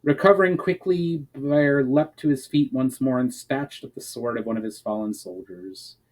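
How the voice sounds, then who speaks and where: A man's voice: mid-range voice, normal speed, a little mellow, rough recording. Man reading fantasy fiction aloud. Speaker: author reading own story chapter aloud.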